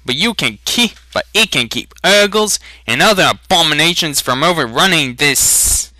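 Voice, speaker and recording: Casting: man doing a thin, haughty storybook traitor, smooth arguer, very bright forceful voice, dry recording. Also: a little high, animated, normal speed, no room sound, pitched above the performer's own voice. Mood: smooth, reasoning. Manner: smooth, reasoning, condescending.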